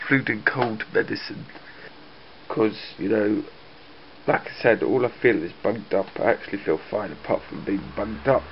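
A man's voice: lowish voice, normal speed, slight background noise. A young man with a blocked nose from a cold, chatting casually into a handheld camera and laughing often. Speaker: vlogger. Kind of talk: casual daily vlog chat. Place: bedroom at home, later a busy cafe. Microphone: handheld camera at arm's length. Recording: slightly dull lo-fi camera audio, busy crowd.

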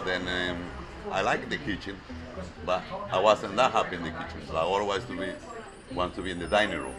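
A man's voice: sits low, low-quality recording. A man in his fifties from Ecuador with an Ecuadorian Spanish accent, a restaurant owner casually telling his story in an interview. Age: 50s. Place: restaurant dining room. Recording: loud music behind the voice.

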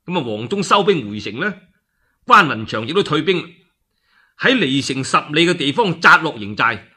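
A man's voice: on the high side, very animated, normal speed, pushed and bright, slightly rough. A man with a Cantonese accent, a radio storyteller narrating a classical war tale theatrically, with exclamations and spoken sound effects.

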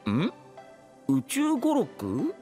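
Excitable, high-pitched boy idol. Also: drawn-out words, gravelly, soft background music, heavily acted. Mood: indignant, puzzled. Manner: boyish, casual junior speech, eager. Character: excitable, cheerful, dim young male idol newcomer. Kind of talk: audio drama acting.

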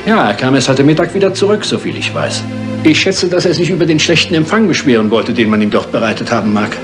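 An actor playing a polite, subdued gentleman in a German crime radio play, speaking formally and hesitantly. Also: mid-range voice, sing-song, fast talker, music in the background, close to an everyday voice. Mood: subdued, regretful.

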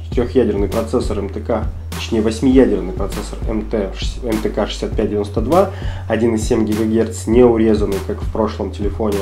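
A man with a slightly hoarse, husky voice from a cold narrates an unboxing in a chatty way, thinking aloud as he goes. His pitch sits in the middle range. Music plays clearly underneath the recording. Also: mellow. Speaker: tech reviewer. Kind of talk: casual unboxing commentary. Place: office desk indoors.

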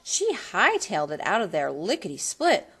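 A woman reading a children's storybook aloud in an expressive, theatrical manner that leans on colorful words. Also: medium pitch, moderate pace, slightly rough. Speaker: storybook reader.

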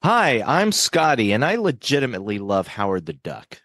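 A man's voice: mid-range voice, wildly swooping pitch, normal speed, fairly bright tone, dry recording, faint music behind. A man co-hosting a horror movie podcast in casual chat, joking and laughing often. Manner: jokey, laughs often, upbeat plugs. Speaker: podcast co-host.

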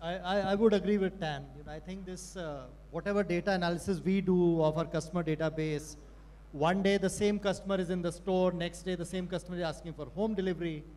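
A man's voice: high-pitched, fairly even intonation, quick pace, darkish tone. A man on a conference panel, speaking in a direct, practical way. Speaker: panelist, retail executive. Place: large conference hall stage. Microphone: handheld mic.

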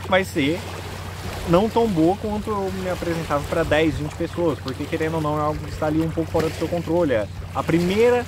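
A man's voice: a little high, fast. A man in his twenties with a Brazilian accent, explaining things informally to camera as a vlogger. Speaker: vlogger giving nutrition advice. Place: outdoors in a sunny park-like area. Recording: noisy outdoor recording, clear background noise.

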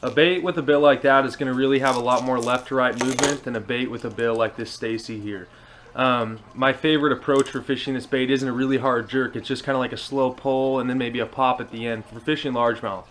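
A young American man in his twenties talks casually to camera, explaining things like a hobby instructor. His voice has a middling pitch. He is recorded on a small camera at home, with faint noise behind him. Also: fairly even intonation, fairly quick, fairly bright tone. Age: 20s. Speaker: fishing vlogger. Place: indoors, small living room. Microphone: action camera on table at arm's length.

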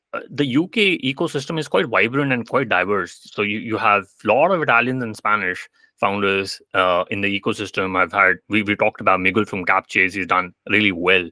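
A man's voice: lowish voice, lively intonation, moderate pace, pushed and bright, no room sound. A man hosting a podcast interview over a video call, asking questions hesitantly with repeated words and many "you know" fillers. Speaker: podcast host. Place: indoors, home room. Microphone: video call webcam.

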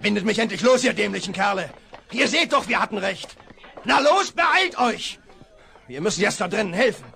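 A man's voice: sits high, lively intonation, normal speed, gravelly, bright, forceful tone, full character voice. An actor playing an eager young man who leads the chase with his dog in a 1970s radio play. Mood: urgent. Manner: eager, commanding.

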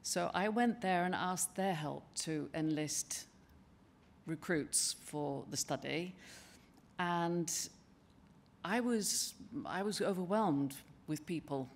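An older woman giving a conference presentation at a lectern in a large wood-panelled hall, speaking in a measured, conversational way with frequent ums and restarts. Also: fairly low pitch, creaky, slight background noise. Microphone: lectern microphone.

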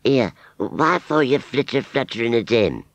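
A man's voice: medium pitch, animated, no room sound, muffled recording, voice pushed up, natural voice. A man voicing a simple, lovestruck scarecrow in a storybook, plaintive and grumbling, with a West Country English accent. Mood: puzzled, jealous. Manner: rustic, plaintive, slow-witted.

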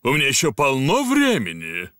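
A man's voice: medium pitch, wildly swooping pitch, moderate pace, noisy background. A man voicing a boastful, reassuring father pig in a dubbed preschool cartoon. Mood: jolly, assured.

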